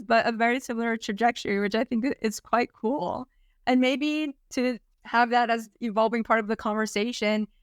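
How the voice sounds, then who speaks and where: A woman's voice: on the high side, clean tone, no room sound. A woman hosting an interview podcast, enthusiastic and chatty as she introduces and questions her guest. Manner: enthusiastic, warm, laughs easily. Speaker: podcast host.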